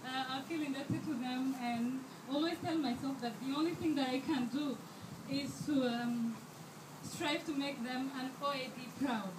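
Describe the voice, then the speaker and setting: A woman's voice: high-pitched, steady tone, normal speed. A woman in her twenties from Rwanda giving an earnest speech at a fundraiser, with many fillers and restarts. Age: 20s. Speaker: scholarship student giving a speech. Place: event hall. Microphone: handheld microphone held too far away. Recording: echoey hall, distant mic, background noise.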